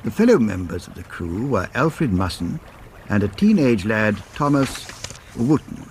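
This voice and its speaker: Lowish-voiced historical narrator. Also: male voice, big pitch swings, darkish tone, a little hiss or hum. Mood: measured, serious. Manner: measured, literary, formal. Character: formal male historian narrating a Victorian murder. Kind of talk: scripted radio drama narration.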